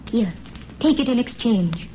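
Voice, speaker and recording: An actress playing a passionate, superstitious young Irish sweetheart in dramatic old-time radio melodrama. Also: female voice, fairly high pitch, lively intonation, unhurried. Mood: solemn, insistent. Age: young adult. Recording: muffled, noisy vintage radio recording.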